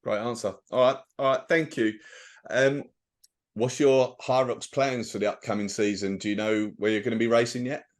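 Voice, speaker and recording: A man hosting an interview, asking questions and wrapping up briskly with repeated thanks. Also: mid-range voice, fairly even intonation. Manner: brisk, friendly wrap-ups with repeated "all right". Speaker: podcast host.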